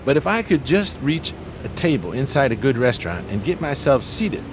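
An actor playing a pompous, genteel homeless schemer in a 1920s radio play, speaking in flowery, courteous phrases. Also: male voice, medium pitch, sing-song, normal speed, noisy background, natural voice. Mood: confident, scheming.

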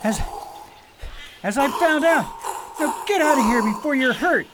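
A woman's voice: high-pitched, lively intonation, a little slow, heavy background noise. A woman playing a brave, proud young prince in a radio play, with earnest, heroic delivery. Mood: exasperated. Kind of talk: theatrical radio drama acting.

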